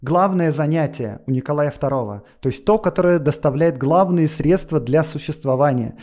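A man's voice: a little high, normal speed, a little mellow. A man explaining history on a podcast in a lecturing way, with frequent fillers and asides. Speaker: guest historian.

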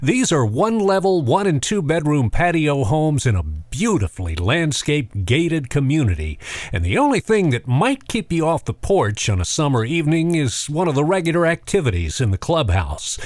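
A man's voice: on the high side, big pitch swings, moderate pace, no echo. A man hosting a nostalgia radio show, reading scripted narration and sponsor copy in a conversational, storytelling way. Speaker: radio host.

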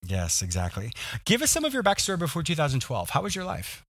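Male interview host, fast and animated, dry close sound. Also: on the high side, dry recording. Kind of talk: scripted introduction and conversational interview.

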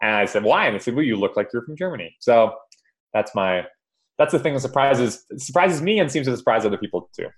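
A man's voice: mid-range voice, animated, fast talker. A man from Hawaii, a podcast guest chatting casually and hesitantly, with lots of ums and repeated words. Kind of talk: casual interview chat.

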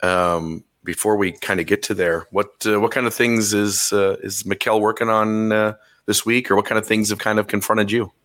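A man hosting a podcast in casual chat, his voice a little hoarse and crackly, talking haltingly with many fillers. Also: lowish voice, steady tone, a bit bright. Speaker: podcast host.

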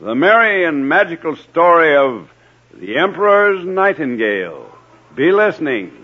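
A man's voice: a little high, big pitch swings, measured pace, over loud music. An American man hosting a 1950s radio programme, reading scripted announcements. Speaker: announcer and host. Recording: dull old radio recording.

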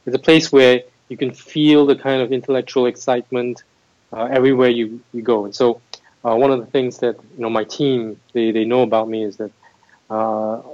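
A man's voice: mid-range voice, a little mellow, no echo. Thoughtful Singapore-raised man, muffled sound. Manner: thoughtful, many restarts and fillers. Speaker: MBA admissions head, guest. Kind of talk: interview conversation.

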